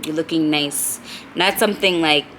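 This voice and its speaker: A woman's voice: low voice, moderate pace, fairly bright tone, a little hiss or hum, low-quality recording. A woman hosting a casual vlog chat, curious and chatty, a little tipsy. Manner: curious, chatty, many "like" fillers. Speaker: vlog host. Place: cafe at night.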